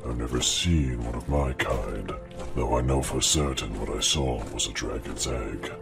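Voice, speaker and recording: Rumbling, gravelly, extremely deep dragon. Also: male voice, unhurried, over music, heavily acted. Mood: brooding, solemn. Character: huge blue dragon, grave, brooding, loyal. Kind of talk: scripted fantasy audio drama.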